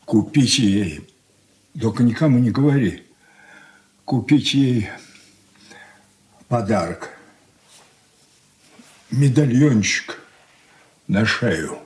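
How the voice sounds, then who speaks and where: Radio drama: weary old merchant father, very slow, halting, resigned. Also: medium pitch, lively intonation, darkish tone. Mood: distracted, halting. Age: older. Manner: halting, resigned, short broken phrases. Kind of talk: radio play acting.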